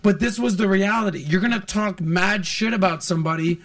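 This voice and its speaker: Male host in his forties, blunt and animated storyteller, slightly high voice. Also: a little fast, distant mic. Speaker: podcast host. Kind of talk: casual podcast chat.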